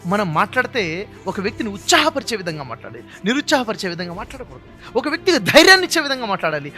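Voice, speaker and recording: A man's voice: very high voice, bright, forceful tone. A man giving a motivational moral talk, emphatic and repetitive, with frequent rhetorical questions. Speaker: motivational speaker. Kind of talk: motivational speech with moral storytelling.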